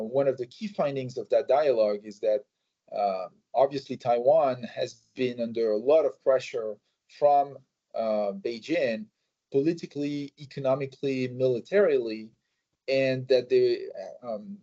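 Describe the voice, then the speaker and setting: A man answering interview questions in a measured way, with many fillers and restarts. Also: medium pitch, dark tone. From France. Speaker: think tank president, guest. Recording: slightly muffled recording.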